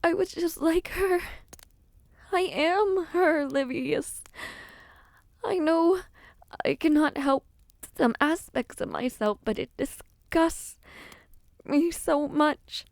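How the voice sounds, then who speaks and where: A woman playing an anguished, self-loathing young princess in a dramatic audio roleplay, speaking in a formal, halting way. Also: sits high, gravelly, darkish tone, no echo, exaggerated voice.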